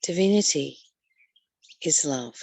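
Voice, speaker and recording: A woman reading a spiritual text aloud and adding her own commentary as a teacher, speaking deliberately with pauses and repeated phrases. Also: deep voice, expressive, gravelly, darkish tone, no echo. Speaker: spiritual study teacher and reader.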